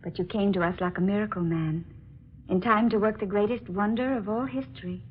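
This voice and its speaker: A woman's voice: medium pitch, a little fast, natural voice. A woman acting a devoted, romantic young princess in a melodramatic old-time radio drama. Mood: admiring. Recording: dull, noisy old radio recording.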